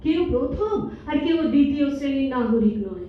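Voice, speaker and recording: A woman playing a doting, hospitable elderly grandmother in a staged audio drama, homely and gently teasing. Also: high voice, expressive, brisk. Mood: welcoming, homely. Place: multipurpose hall stage. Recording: distant, echoey hall recording, muffled, faint noise.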